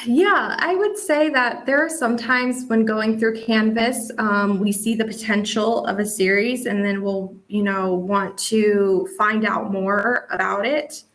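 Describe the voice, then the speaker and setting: A woman's voice: mid-range voice, steady tone, slow, few pauses, a bit bright. A woman working as an editor gives informal advice in a question-and-answer session, often dropping in "like" and "you know". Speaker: webcomic editor giving advice. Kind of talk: casual explanatory Q&A talk.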